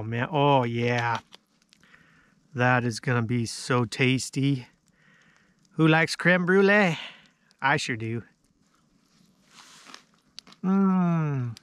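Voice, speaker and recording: A man's voice: medium pitch, extremely slow, long pauses, a bit bright. A man in his thirties vlogging casually at a snowy night campsite, savoring food and chatting to viewers. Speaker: outdoor vlogger. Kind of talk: casual chat to camera.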